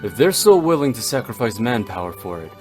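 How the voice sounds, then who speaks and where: A man's voice: medium pitch, big pitch swings, brisk, a little mellow, soft background music, lightly acted. A man voicing a stoic, cold and brooding warrior in a video game, with solemn, formal, terse delivery. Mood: grim, calculating. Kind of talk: dramatic video game voice acting.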